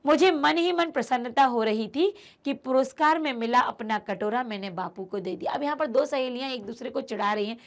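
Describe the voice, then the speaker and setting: A woman's voice: high-pitched, a little fast. A woman teacher explaining a textbook chapter to her students, emphatic and repeating key phrases as she speaks to them directly. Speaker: Hindi teacher.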